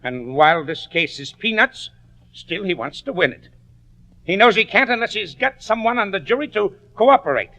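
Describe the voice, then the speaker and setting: Cagey, folksy male witness, sharp high voice, streetwise slang, vintage radio crime drama. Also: lively intonation. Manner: folksy, slangy, evasive drawn-out answers. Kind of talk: old-time radio acting.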